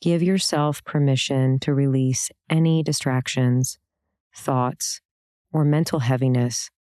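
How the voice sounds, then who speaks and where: Female meditation guide, very deep register, soft mellow tone, calm and gentle scripted delivery. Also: clean tone, no room sound, clean studio sound. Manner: calm, gentle, unhurried instruction with pauses. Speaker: meditation and yoga teacher.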